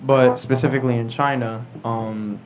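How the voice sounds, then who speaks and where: A man's voice: medium pitch, fairly even intonation, normal speed. A teenage American boy hosting a podcast in a casual group discussion. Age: teens. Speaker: podcast host. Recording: muffled lo-fi podcast with clear background noise.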